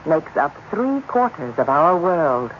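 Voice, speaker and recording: An actress playing a composed, authoritative alien doctor with measured, formal speech in a radio drama. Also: female voice, sits low, very animated, full character voice. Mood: reassuring. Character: composed, reassuring alien doctor and explorer, an adult. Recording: muffled, faintly noisy old radio broadcast.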